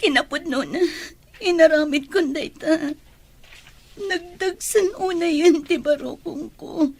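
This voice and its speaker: Babaeng gumaganap bilang dalagang balisa, mataas ang boses. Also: female voice, very high-pitched, takes it slowly, darkish tone, heavily acted. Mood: tearful confession. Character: guilt-stricken, tearful young woman in a love triangle. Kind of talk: Ilocano audio drama acting.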